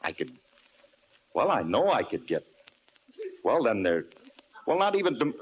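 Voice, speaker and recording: An actor playing an excitable, brash bandleader husband with slangy, ungrammatical speech, in old-time radio comedy style. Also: male voice, medium pitch, unhurried, breathy edge, dull audio, exaggerated voice. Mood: indignant. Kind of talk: 1940s-50s radio comedy acting.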